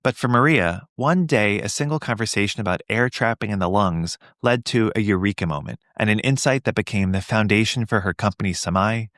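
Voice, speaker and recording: A man hosting a podcast, who is also a surgeon, reading a measured scripted introduction and then chatting with his guest. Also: fairly low pitch, fairly bright tone, no echo, studio quality. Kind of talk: scripted intro narration, then interview chat.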